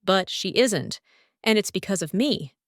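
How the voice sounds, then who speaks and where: A woman voicing a grieving, soft-hearted female warplane haunted by war, speaking in a somber, confessional way in an animated drama. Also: medium pitch, measured pace, dry recording, studio quality. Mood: grieving, guilty.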